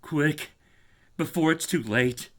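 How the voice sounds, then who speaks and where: A man voicing a terrified, doomed explorer in a horror story, pleading in urgent, quavering, hoarse exclamations. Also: a little high, takes it slowly, fairly bright tone, pitched above the performer's own voice. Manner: breathless short exclamations and pleas. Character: terrified doomed man calling up from a tomb by telephone.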